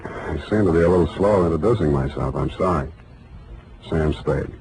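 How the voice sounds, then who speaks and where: Low-voiced wry private eye, 1940s radio. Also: male voice, normal speed, rough recording. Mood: low-key, hesitant. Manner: wry, laconic. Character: wry hard-boiled male private detective. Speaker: actor and narrator. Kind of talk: 1940s radio detective drama acting. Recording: vintage 1940s radio broadcast, loud music.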